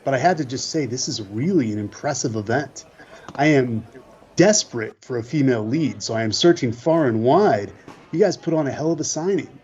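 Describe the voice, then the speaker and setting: A man playing a friendly, casual indie filmmaker in a comedic audio play, with a husky voice and slightly dull audio. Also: mid-range voice, animated, brisk, darkish tone, lightly acted.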